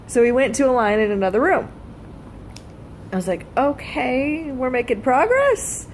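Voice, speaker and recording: A woman's voice: high voice, expressive, moderate pace, rough recording. Woman vlogging casually over noticeable noise. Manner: blunt, casually profane. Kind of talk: casual personal storytelling vlog.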